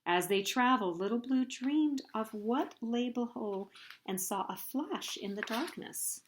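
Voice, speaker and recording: A woman hosting storytime, reading a children's picture book aloud in an expressive, deliberate way. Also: on the high side.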